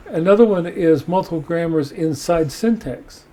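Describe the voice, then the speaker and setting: A man hosting a podcast, reading passages from a book aloud and discussing them in a measured way. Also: a little high, dark tone. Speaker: podcast host.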